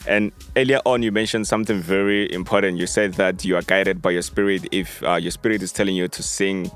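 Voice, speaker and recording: A man interviewing a singer in an encouraging way, often affirming her answers and filling pauses with "you know" and "like". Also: low-pitched, fairly even intonation, smooth, faint music behind. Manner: encouraging, with many fillers and affirmations. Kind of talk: phone interview.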